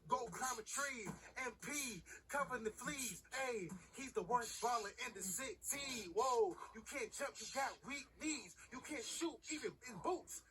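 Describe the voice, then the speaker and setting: An actor playing a cocky, boastful young amateur rapper in a video game cutscene, brash and slangy. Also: male voice, very high-pitched, animated, measured pace, few pauses, pushed and bright, exaggerated voice. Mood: taunting. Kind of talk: video game cutscene dialogue and freestyle rap.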